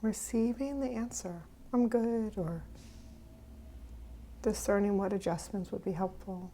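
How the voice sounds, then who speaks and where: A woman with a soft-edged, dark and relaxed voice leads a guided meditation at a very slow pace. She is gentle and leaves pauses between phrases. Her words often trail away into a breathy near-whisper. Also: mid-range voice, a little hiss or hum. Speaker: meditation teacher. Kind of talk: guided meditation, unhurried and instructive.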